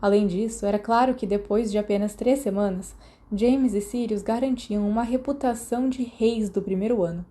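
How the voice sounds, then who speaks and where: A woman's voice: medium pitch, moderate pace, dark tone. A woman with a Brazilian accent reading a story aloud as a podfic narrator. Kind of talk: scripted audiobook-style narration.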